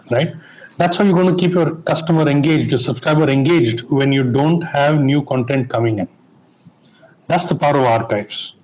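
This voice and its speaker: A man explains a point on a conference panel, steady and mid-pitched. He builds his case step by step. The sound is dull and lo-fi. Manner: explanatory, asks listeners to bear with him.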